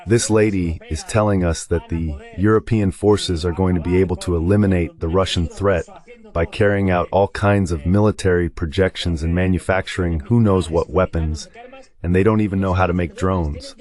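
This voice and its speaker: A deep male voice delivers translated political commentary as a guest analyst. The phrasing is conversational and emphatic, staying low in pitch throughout. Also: a little mellow.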